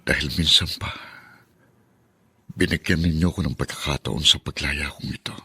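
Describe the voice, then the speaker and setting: A man's voice: extremely low pitch, normal speed, sharp tone, husky, no echo, clean studio sound, full character voice. A male actor playing a humble, hesitant, weary ex-convict in a Filipino radio drama, with a Filipino accent. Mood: anxious, prayerful. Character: weary, humble ex-convict man, newly freed, prayerful.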